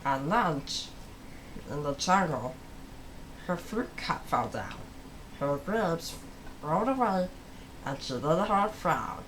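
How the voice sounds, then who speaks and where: A person reading a story aloud for children as host, with a deliberate, halting delivery full of pauses. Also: mid-range voice, lively intonation, a bit bright, a little hiss or hum, low-quality recording.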